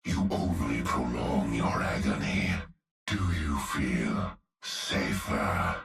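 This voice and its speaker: A hulking cyborg executioner, rumbling in an extremely deep, gravelly growl that crawls along at a very slow pace. His menace is steady and unhurried, and he breathes heavily between lines. The game audio sounds roomy and distant, with music underneath. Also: full character voice. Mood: menacing.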